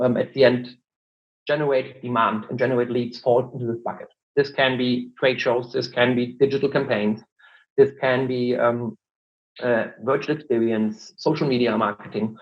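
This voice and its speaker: A man with a German accent answers interview questions, laying out his points step by step with many fillers. His voice sits at a middle pitch. The recording sounds a little dull and lo-fi. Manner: explanatory, many fillers and "look". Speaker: marketing director, guest.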